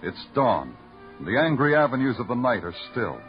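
A man's voice: mid-range voice, very animated, moderate pace, lightly acted. An actor playing a terse, hard-boiled male police detective who also narrates, in a vintage radio drama. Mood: brooding. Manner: terse, clipped, brooding narration. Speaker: narrator and lead. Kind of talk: 1950s radio acting. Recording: dull vintage radio broadcast with music score.

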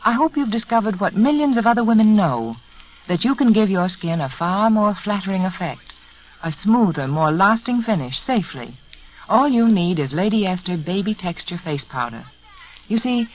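A woman giving earnest, measured, persuasive advice in a 1940s radio commercial. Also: medium pitch, very animated. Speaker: beauty adviser in sponsor advertisement. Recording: faintly noisy vintage radio recording.

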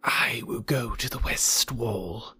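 A man voicing a grim, menacing great python, speaking in a hushed whisper. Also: mid-range voice, takes it slowly, husky, no echo, heavily acted.

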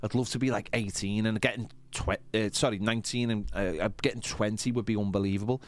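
A man's voice: fairly low pitch, fairly even intonation, fast talker. A man talking as a podcast panellist in casual sports chat, opinionated and emphatic, with a rambling delivery.

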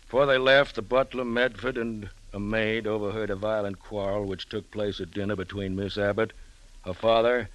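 A man's voice: fairly low pitch, fairly even intonation, moderate pace, fairly bright tone, close to an everyday voice, low-quality recording. A man playing a skeptical police lieutenant in a 1940s radio drama, giving facts in a clipped, official way. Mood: dry, official. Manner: factual, clipped reporting. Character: matter-of-fact, skeptical male police lieutenant.

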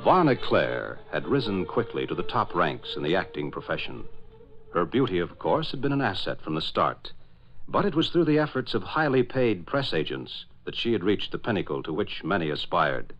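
A man's voice: low voice, big pitch swings, normal speed, a bit bright, soft background music. A man narrating as a 1940s radio announcer in a dramatic, declamatory style, on a dull old recording.

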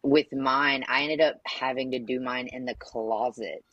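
Young male guest, husky voice, dry close mic. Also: mid-range voice, fairly even intonation, unhurried, fairly bright tone, no room sound, dull audio. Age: 20s. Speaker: podcast guest. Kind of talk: casual chat.

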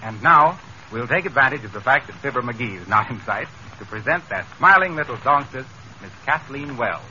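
A man's voice: mid-range voice, animated, normal speed. An American man announcing commercials on 1930s radio with a polished, emphatic sales delivery. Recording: muffled, noisy vintage radio broadcast.

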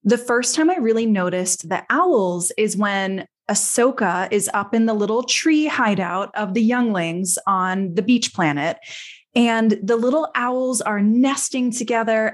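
A woman's voice: a little high, a little slow. A woman chatting casually as a podcast panelist discussing a TV series. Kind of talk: casual chat about a TV series.

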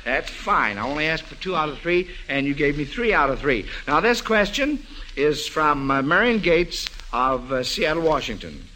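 Old timey radio quiz host guy, bright highish voice, encouraging to the kids, bit of noise. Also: very animated, moderate pace. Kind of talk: old-time radio quiz hosting, addressing children. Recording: noisy vintage radio broadcast.

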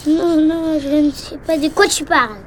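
Casting: actor as scared young boy, reluctant, pleading, high-pitched, audio-drama style, noisy ambience behind. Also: fairly even intonation, moderate pace, lightly acted. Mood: pleading, resisting. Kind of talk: audio fiction acting.

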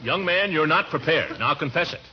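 An actor playing a pompous, grumpy, fretful middle-aged uncle in 1940s radio comedy, blustering and exclaiming. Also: male voice, fairly high pitch, lively intonation, brisk, natural voice. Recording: dull, noisy vintage radio recording.

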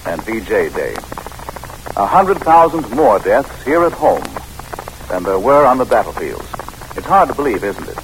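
Animated male vintage radio announcer. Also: medium pitch. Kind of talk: scripted 1940s radio public-service announcement. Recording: faintly noisy old radio broadcast.

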